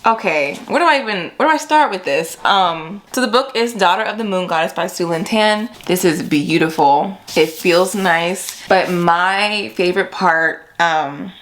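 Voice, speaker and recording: Lower-voiced young woman vlogging casually. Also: a little slow, few pauses, fairly bright tone. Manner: chatty, informal, slangy, addressing viewers as friends. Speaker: book vlogger. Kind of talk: casual vlog chat.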